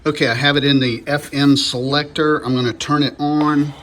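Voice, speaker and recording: A man's voice: fairly high pitch, little pitch movement, normal speed. Male American product reviewer, casual hands-on demo delivery, some faint background noise. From coastal North Carolina, US. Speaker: product reviewer presenting to camera.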